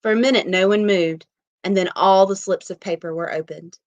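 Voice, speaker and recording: Female story narrator, mid-pitched, dry sound, deliberate dramatic delivery. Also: no echo. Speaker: reader at a literary event. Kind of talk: dramatic short story reading.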